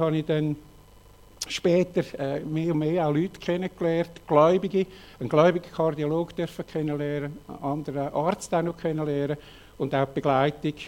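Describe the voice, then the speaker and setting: Swiss german guy late 50s, earnest and frank, lots of ums, voice a bit high for a man. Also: a little mellow. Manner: earnest, frank, halting with many fillers. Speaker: speaker giving a personal testimony. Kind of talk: personal testimony talk with slides.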